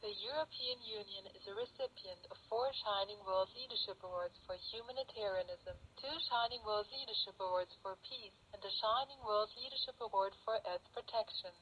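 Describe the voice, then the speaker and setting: A woman's voice: mid-range voice, a little fast, few pauses, fairly bright tone, a little hiss or hum. A woman presenting scripted news, heard through a muffled shortwave radio capture.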